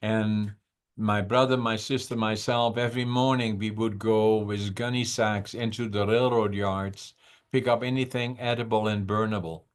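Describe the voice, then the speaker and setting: An older man in his eighties, from the Netherlands, is talking over a video call. His voice sits a little low, and he tells his stories slowly, in a reflective way, stopping often to search for words. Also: flat delivery, smooth. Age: 80s. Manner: unhurried, reflective, with frequent "uh" pauses. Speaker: podcast guest. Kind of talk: conversational storytelling.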